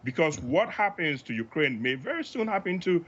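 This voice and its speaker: A man from Africa speaking as an expert guest in a news interview, measured and emphatic as he makes his points. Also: on the high side, very animated, a bit bright, muffled recording. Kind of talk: live TV news interview, analytical commentary.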